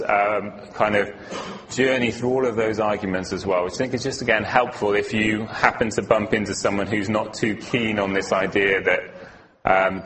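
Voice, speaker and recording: A man's voice: lowish voice, fairly bright tone, a little hiss or hum, poor audio. A man from Wales giving an earnest, informal teaching talk as a guest speaker at a church, with a few fillers.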